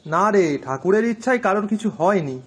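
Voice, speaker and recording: A man's voice: sits high, lively intonation, a little fast. A man with a Bengali accent playing an anxious, earnest villager in a folk-tale drama. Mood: earnest, urgent. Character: anxious, civic-minded poor male villager in a folk tale.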